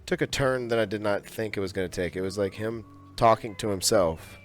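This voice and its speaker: A man's voice: on the low side, a little fast, soft background music. A young man, chatting loosely on a podcast, rambling with lots of fillers. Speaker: podcast co-host. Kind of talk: casual chat.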